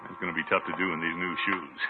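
An actor playing a pompous, needy middle-aged bachelor with a blustery, theatrical delivery in a 1950s radio comedy. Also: male voice, low-pitched, flat delivery, very fast, close to an everyday voice. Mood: dejected. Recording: noisy, muffled 1950s radio recording.

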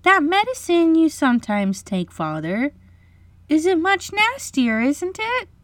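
An earnest young storybook girl, put on by a woman reading aloud, eager to help. Her voice is raised high and moves in lively, swooping lines. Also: a little slow, no room sound, exaggerated voice. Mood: encouraging.

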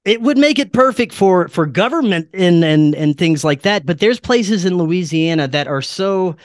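A man's voice: high-pitched, expressive, moderate pace, no room sound, studio quality. A man talking casually and earnestly as a podcast guest, telling strange stories in an informal way. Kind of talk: casual conspiracy and paranormal talk.

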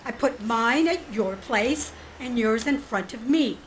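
A woman's voice: fairly high pitch, expressive, slight background noise. An actress in an audio drama playing a genteel, coolly devious woman who feigns sweet innocence, speaking politely and hesitantly. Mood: apologetic, explaining. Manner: hesitant, polite, drawn-out delivery.

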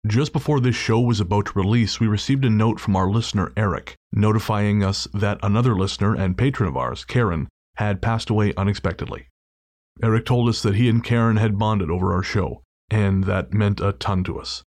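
A man with a fairly low voice hosts a podcast. He introduces the show in a casual, conversational way. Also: a little fast, darkish tone, dry recording. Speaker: podcast host.

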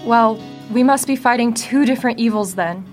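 A woman's voice: fairly high pitch, normal speed, close to an everyday voice. A young woman acting a confident, watchful survivor daughter in an audio drama, curt and self-assured. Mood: confident, suspicious. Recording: music behind the voice.

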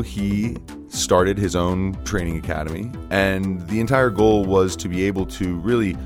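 Deep voiced guy hosting a podcast, chill and excited, tripping over his words a bit, faint music under. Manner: relaxed, enthusiastic, frequent restarts. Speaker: podcast host. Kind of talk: casual interview chat.

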